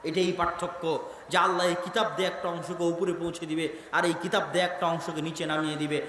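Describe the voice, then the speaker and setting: A man's voice: a little high, little pitch movement, fast talker, a bit bright. A man preaching an impassioned Islamic sermon, emphatic and dramatic, repeating phrases and posing rhetorical questions. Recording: very echoey hall with faint noise.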